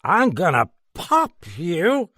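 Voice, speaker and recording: A man voicing a drunk young American man who slurs, rambles and blusters loudly. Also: high voice, expressive, slow, dry recording, studio quality, pitched above the performer's own voice. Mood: threatening drunk. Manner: slurred, boisterous, rambling.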